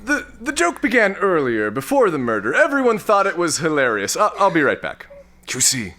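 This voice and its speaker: A man's voice: mid-range voice, big pitch swings, normal speed, fairly bright tone. Man playing a theatrical, swooping, pompous space lord. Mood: flustered. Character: bumbling, lovestruck, pompous boozy space lord. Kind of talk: comic sci-fi audio drama performed live.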